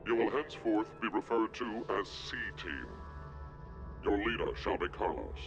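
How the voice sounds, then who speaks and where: A sinister death-game mastermind from a video game. The voice is very low, swooping theatrically in pitch while staying composed and deliberate. Music plays clearly behind it. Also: male voice, animated, highs cut off. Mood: commanding, detached. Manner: measured, deliberate, ominous storytelling. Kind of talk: video game villain monologue.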